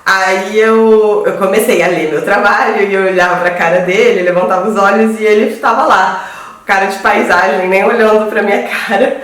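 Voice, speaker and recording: A Brazilian woman in her thirties telling a story to friends, halting and often repeating words as she searches for phrasing. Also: medium pitch, fairly even intonation, fairly bright tone, distant mic. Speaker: guest. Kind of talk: casual storytelling among friends. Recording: very echoey room, voice from afar.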